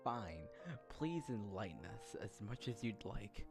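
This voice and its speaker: Female game streamer, low pitch, casual reactions, conversational, loud music in the background. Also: expressive, unhurried, gravelly. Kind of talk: casual gameplay reaction commentary.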